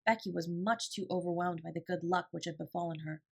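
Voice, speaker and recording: A woman narrating an audiobook in an expressive storytelling style. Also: fairly low pitch, brisk, creaky.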